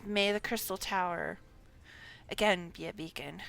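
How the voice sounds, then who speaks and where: A woman's voice: on the low side, a little slow, vocal fry, fairly bright tone. A woman voicing an earnest, noble young male hero from a fantasy game, formal and solemn or urgent.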